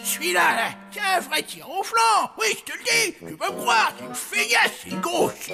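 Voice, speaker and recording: A cantankerous old zombie grandfather for a children's cartoon. The voice is very high and creaky, swooping up and down as he blusters and exclaims. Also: lively intonation, normal speed, exaggerated voice. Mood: grumpy, fretful. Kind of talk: French children's cartoon acting. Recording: loud music behind.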